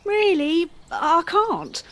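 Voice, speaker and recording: Woman as persistent tabloid reporter, comic drama, high voice, slow deliberate delivery, coaxing, theatrical. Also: huge pitch swings, very noisy, exaggerated voice. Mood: stubborn. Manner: theatrical, coaxing, headline-declaiming. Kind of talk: comic play dialogue.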